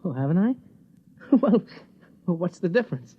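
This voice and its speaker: An actor playing a devoted but stubborn rocket pilot husband in 1950s American radio drama style. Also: male voice, high-pitched, very animated, normal speed, breathy edge, full character voice. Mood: playful, teasing. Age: adult. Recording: muffled vintage 1950s radio recording.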